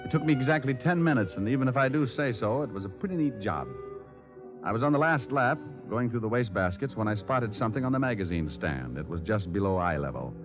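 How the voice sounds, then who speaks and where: An actor with an American accent playing a cool, cocky hard-boiled private detective, dry and slangy, in a 1940s radio drama. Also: male voice, medium pitch, animated, quick pace, natural voice. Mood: cocky narration. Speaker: lead actor and narrator. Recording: muffled vintage radio, faint music.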